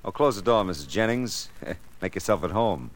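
Low-voiced, wry American radio detective. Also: male voice, lively intonation, moderate pace. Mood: sardonic. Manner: dry, sardonic, clipped. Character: wry, tough, cool-headed male private detective. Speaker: radio drama lead actor. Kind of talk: 1940s-50s American radio detective acting. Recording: noisy vintage radio drama recording.

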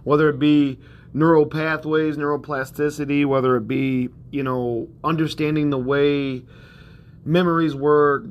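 A man hosting a self-help podcast, talking in a rambling, conversational way with plenty of fillers and a soothing tone. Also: on the high side. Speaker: podcast host.

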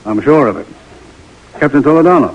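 Commanding officer for vintage radio play: husky, terse, authoritative, dry wit, dull lo-fi sound. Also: male voice, medium pitch, animated. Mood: dry, brisk. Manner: brisk, terse, authoritative, dryly sardonic. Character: stern, dry, commanding army major briefing subordinates. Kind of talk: old-time radio drama acting. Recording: muffled vintage radio recording.

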